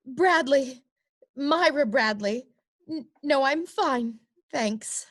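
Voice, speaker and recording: A woman's voice: high-pitched, lively intonation, takes it slowly, fairly bright tone, full character voice. An actress playing a prim, earnest young woman in a comic noir play, speaking precisely and insistently like a schoolteacher. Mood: tearful, polite. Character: prim, earnest young woman client, a former teacher turned lawyer.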